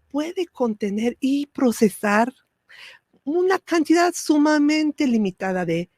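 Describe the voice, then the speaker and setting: A woman whose first language is Italian gives a spiritual teaching talk, slow and measured, with pauses and fillers. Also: medium pitch, darkish tone, no echo.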